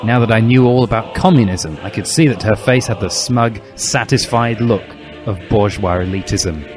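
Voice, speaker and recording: Male narrator over clear background music. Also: lowish voice, normal speed. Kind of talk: comic dramatic reading of fan fiction.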